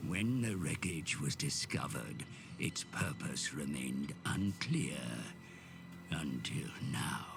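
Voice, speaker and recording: A man voicing a grim, ominous narrator of dark fantasy game cutscenes, gravelly and theatrical, with breathy phrase endings. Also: extremely low pitch, expressive, exaggerated voice. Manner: slow, theatrical, portentous. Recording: loud music under the voice.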